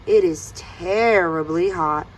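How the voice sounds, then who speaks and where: A woman voicing a friendly, gullible male lion in a children's storybook, with a drawn-out, agreeable delivery. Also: fairly low pitch, a little hiss or hum.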